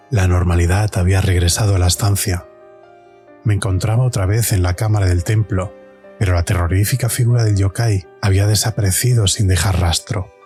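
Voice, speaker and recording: A man's voice: deep voice, dark tone, close to an everyday voice. A man playing a suffering hero of a supernatural tale, narrating an audio drama in the first person. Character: suffering young male hero surviving a supernatural trial.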